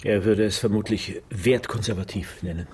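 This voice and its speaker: Clear background noise surrounds a lowish-voiced male detective played by an actor. He is dry, understated and a little grumbling, hesitating now and then in a naturalistic radio play style. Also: steady tone, moderate pace, a little mellow, natural voice. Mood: dry, skeptical. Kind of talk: naturalistic radio drama acting.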